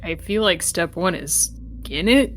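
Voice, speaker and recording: Lower-pitched woman playing a tabletop game. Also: expressive, a little slow, a bit bright, faint music behind. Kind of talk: casual in-game chat, thinking aloud.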